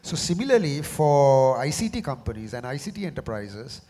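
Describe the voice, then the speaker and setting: Hoarse Sri panelist, middle-aged man, measured and halting, long hall reverb. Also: medium pitch, very animated, warm tone. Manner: measured, often repeats words as he restarts. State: slightly hoarse from a cough. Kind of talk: panel discussion. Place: large conference hall.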